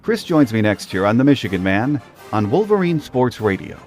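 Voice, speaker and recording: A man hosting a sports podcast, reading a scripted monologue in a steady way. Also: mid-range voice, moderate pace, faint music behind. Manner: steady, read-from-script delivery. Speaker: podcast host.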